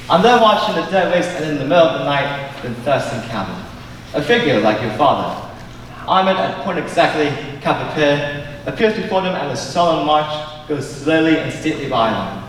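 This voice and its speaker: A man's voice: on the high side, big pitch swings, moderate pace, fairly bright tone. A young man playing an earnest, formal Elizabethan courtier, declaiming grandly in a stage play. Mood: solemn, urgent. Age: young adult. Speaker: student stage actor. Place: large indoor school auditorium, on stage. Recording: echoey auditorium, distant mic, faint noise.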